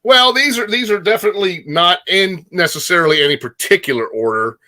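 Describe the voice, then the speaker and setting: A man's voice: high-pitched, lively intonation, fairly quick, bright, forceful tone. An American man hosting a casual talk show, going through listings and lists in a conversational way. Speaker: host presenting show listings and top-five lists. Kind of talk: casual chat.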